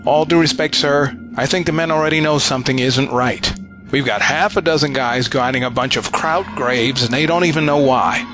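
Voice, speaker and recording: A man's voice: medium pitch, moderate pace, music in the background, natural voice. A man playing a weary, frank army sergeant in a war audio drama, plain-spoken, respectful and grim. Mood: earnest.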